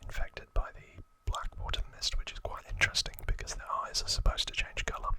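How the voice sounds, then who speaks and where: A man whispering an ASMR recap in a breathy, unvoiced voice, explaining things in a hesitant, self-correcting way. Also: a little fast, pushed and bright. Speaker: host of an ASMR trivia channel.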